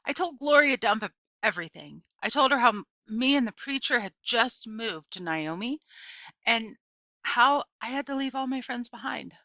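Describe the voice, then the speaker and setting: A middle-aged woman reading a children's novel aloud as expressive storytelling, with deliberate, emphatic phrasing. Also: on the high side, no room sound, muffled recording. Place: small indoor room with bookshelves.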